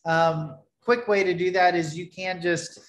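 A man in his thirties giving a relaxed screen-share tutorial on his product, talking loosely with many fillers and restarts from a webcam at his desk. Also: high voice, a little slow, roomy sound, rough recording. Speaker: developer presenting his product. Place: small home room, indoors.

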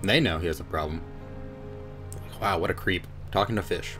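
A man's voice: low-pitched, normal speed, a bit bright. A man, giving casual, rambling gameplay commentary with wry asides. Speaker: gamer narrating a playthrough. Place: indoor room at home. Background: game music.

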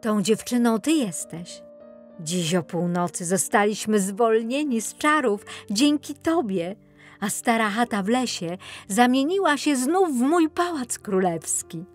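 A woman's voice: a little high, lively intonation, a little slow, faint music behind, pitched above the performer's own voice. A woman voicing a noble young prince in a children's fairy tale, speaking in an earnest, declarative way. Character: noble young prince freed from a witch's spell.

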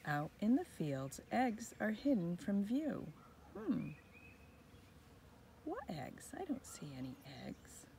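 A woman's voice: medium pitch, slow, gravelly. A woman reading a children's picture book aloud outdoors, expressively, as a teacher would to young children. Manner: expressive, addressing young children, with whispered asides. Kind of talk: children's story read-aloud. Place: outdoors in a front yard under a tree. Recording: outdoor recording with birds and ambient noise.

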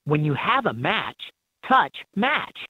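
A woman's voice: fairly low pitch, very animated, takes it slowly, bright, forceful tone, husky, no room sound, full character voice. A woman voicing an encouraging instructor in a children's animal game, giving scripted instructions in slightly dull game audio. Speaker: educational game voice.

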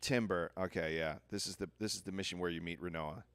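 A man's voice: low voice, steady tone, quick pace, a bit bright, slight background noise. A man giving casual gameplay commentary in a chatty, rambling way, thinking aloud as he plays. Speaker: video game player commentating.

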